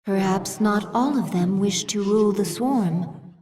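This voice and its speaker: A woman playing a loyal adviser to an alien queen in a video game, formal and measured. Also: medium pitch, mellow, husky. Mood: thoughtful. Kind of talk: video game cutscene acting.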